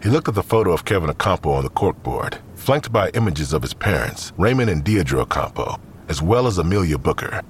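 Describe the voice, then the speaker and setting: A man's voice: low-pitched, sing-song, a little fast, a little hiss or hum. A man reading scripted third-person narration for an audio drama.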